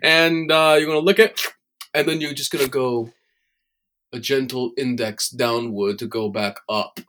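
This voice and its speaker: A man improvising a smug voice coach with a confident, deadpan delivery. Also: measured pace, a little hiss or hum, lightly acted. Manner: deadpan, confident, drops voice low for effect. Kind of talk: improv comedy podcast.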